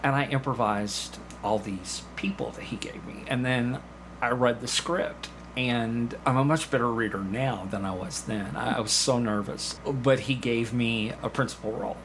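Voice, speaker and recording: A man's voice: medium pitch, moderate pace, noisy background. A man chatting casually as an interview guest, telling stories and acting out bits of dialogue. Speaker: podcast guest, community theatre actor. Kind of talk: casual anecdotal interview chat.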